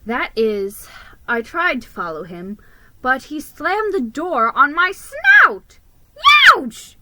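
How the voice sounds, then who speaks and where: Bright, animated woman reading a story. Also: high-pitched, slow, slight background noise, low-quality recording. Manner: theatrical, expressive. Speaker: storybook reader. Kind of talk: dramatic reading of a children's Christmas story.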